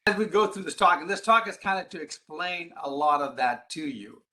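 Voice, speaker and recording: A man delivers a live lecture in a fairly high, bright, forceful voice. He explains his points emphatically and leans on rhetorical questions to lead listeners along. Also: animated, fairly quick. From Ghana, Middle East and East Africa. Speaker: public speaker, advocacy group president.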